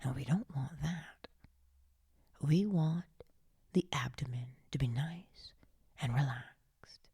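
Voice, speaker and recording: A woman leading a guided meditation in a hushed, soothing, breathy and often whispered voice, coaxing gently with long pauses. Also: extremely low pitch, dry recording, studio quality. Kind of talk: slow guided meditation narration, partly whispered.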